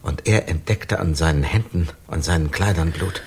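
An actor in a German radio crime drama playing an anguished, formal amnesiac man who speaks haltingly, with a husky, gravelly voice. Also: a bass voice, exaggerated voice. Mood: troubled. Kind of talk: German radio play acting.

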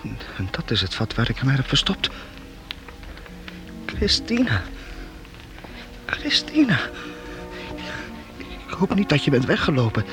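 A man's voice: medium pitch, huge pitch swings, unhurried, pauses often, music in the background. An actor playing an eager, kind-hearted boy in a radio play, earnest and a little hesitant. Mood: anxious, tender. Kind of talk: radio drama acting.

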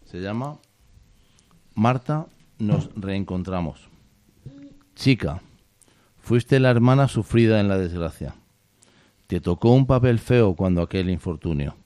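A man hosting a casual radio talk on poetry, explaining and reading poems in a steady way, often ending lines with a questioning tag. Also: lowish voice, clear, resonant tone, dry recording. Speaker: radio host. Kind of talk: casual radio chat about literature.

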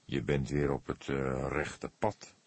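A man's voice: very deep voice, level tone, a little mellow, pitched below the performer's own voice, exaggerated voice. Man als vermoeide rechercheur, diep en hees. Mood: resigned, probing. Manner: measured, terse, resigned questioning. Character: weary, probing older male police detective. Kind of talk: audiobook character dialogue.

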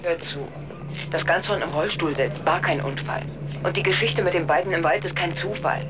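A woman's voice: on the low side, moderate pace, noisy background, lightly acted. An actress playing a secretive, ominous female informant calling in a German radio drama. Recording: loud music behind the voice.

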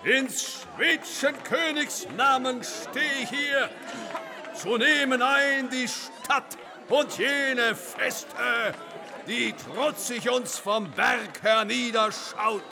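A man's voice: very high-pitched, drawn-out words, background noise, heavily acted. A man playing a stout brewery director acting a Swedish general, declaiming pompous verse from far off over a crowd. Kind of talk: radio play acting.

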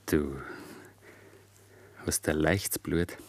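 An actor playing a bitter, stubborn young farmer's son in a radio drama, brooding and accusing in Bavarian dialect. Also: male voice, extremely low pitch, steady tone, very slow, exaggerated voice. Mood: sullen, reproachful.

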